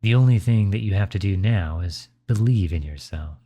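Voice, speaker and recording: A man playing a gentle, reassuring father comforting his child in a soft, soothing ASMR roleplay. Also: on the low side, lively intonation. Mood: tender, encouraging. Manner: soft, soothing, unhurried encouragement.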